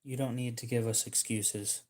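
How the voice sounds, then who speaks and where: A man's voice: medium pitch, steady tone, normal speed, darkish tone. A man hosting a livestream, chatting casually in a joking, sarcastic way with some swearing. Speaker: livestream host.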